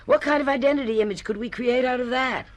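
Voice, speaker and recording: An actress playing a ruthless, brash publishing executive in a radio drama, blunt, domineering and full of business jargon. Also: female voice, high voice, fast talker, natural voice. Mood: blunt, scornful.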